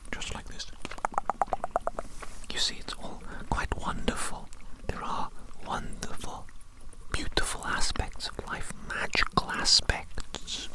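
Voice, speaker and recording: A mad scientist doctor, acted out by an ASMR performer speaks entirely in a breathy whisper. The delivery is slow and musing, with phrases softly repeated. The character dotes on a creation while pondering dark ideas in an intimate roleplay monologue. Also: close to an everyday voice, rough recording.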